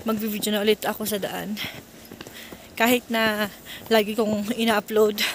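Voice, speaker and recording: A woman in her forties from the Philippine highlands, chatting casually in a walking vlog on a foggy mountain road, her phone held at arm's length. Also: flat delivery, a little slow. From Benguet, Philippines. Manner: chatty, mixes English into Tagalog, talks while walking. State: slightly out of breath from walking. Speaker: vlogger. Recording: outdoor phone recording with clear background noise.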